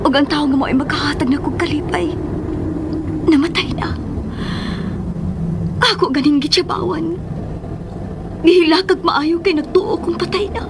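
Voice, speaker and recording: A woman's voice: sits high, steady tone, moderate pace, long pauses, very noisy, lightly acted. An actress playing an emotional mother torn between lost love and her child in a Philippine radio drama. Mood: anguished, yearning. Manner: emotional, prayerful, breaking into hushed soliloquy. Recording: radio drama with music underneath. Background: dramatic background music.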